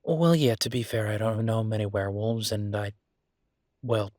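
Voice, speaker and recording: A man's voice: on the low side, moderate pace, a little mellow, dry recording, studio quality, natural voice. A man playing a frustrated, affectionate restaurateur boyfriend in an intimate roleplay audio drama, talking conversationally and hesitantly to his partner. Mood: fond, teasing. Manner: conversational, hesitant, exasperated but fond.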